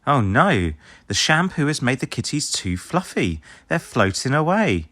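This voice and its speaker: A man voicing an eager, clumsy, helpful young puppy from a children's cartoon storybook, excitable and earnest. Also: medium pitch, measured pace, dry recording, studio quality, pitched above the performer's own voice. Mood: worried.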